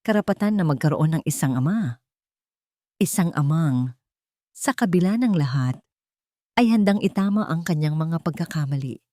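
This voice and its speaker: Female storyteller, low register, dry close sound, measured dramatic phrasing for scripted fiction. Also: expressive, mellow, dry recording. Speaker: narrator.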